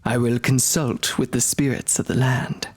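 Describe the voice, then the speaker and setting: A woman voicing a solemn male zebra shaman with formal, rhyming, measured speech in an audiobook. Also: rumbling low, little pitch movement, darkish tone, husky, voice pushed down.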